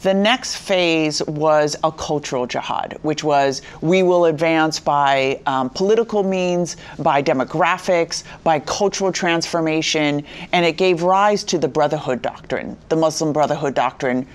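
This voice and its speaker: A woman's voice: sits low, a little slow, hardly any pauses. A woman guest who grew up in Iran and speaks, explaining earnestly in a talk show interview. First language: Farsi. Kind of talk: conversational interview.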